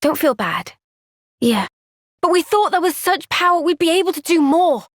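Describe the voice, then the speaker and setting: A woman with a British accent voicing an earnest, gentle, thoughtful young woman soldier in a video game cutscene. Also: very high-pitched, sing-song, normal speed, no echo, studio quality. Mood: gently chiding.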